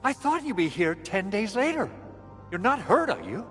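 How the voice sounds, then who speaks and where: High-pitched, excitable male engineer. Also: normal speed, big-room sound, faint music behind, heavily acted. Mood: startled, excited. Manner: dramatic, flustered, effusive. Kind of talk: video game voice acting.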